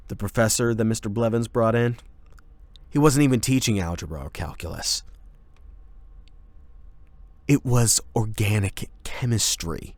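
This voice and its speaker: A man acts out a rebellious male high school delinquent in an anime-style audio roleplay. The voice sits low and is captured very dry, with no room sound. He talks casually and irreverently, with sardonic, slangy swagger. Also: dry recording.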